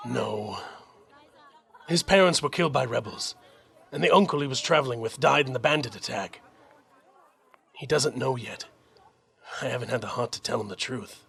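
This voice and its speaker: Guy acting a young fortress captain, earnest, husky creaky voice, audio drama. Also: mid-range voice, normal speed, long pauses, fairly bright tone, some chatter behind. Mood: subdued, grave. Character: dutiful young male army captain commanding a fortress.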